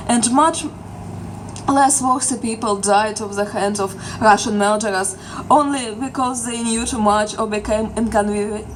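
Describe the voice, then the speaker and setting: A woman giving an earnest, formal reading of a prepared political essay at a live literary event. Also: fairly high pitch. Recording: noisy live event recording. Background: clear ambient venue noise.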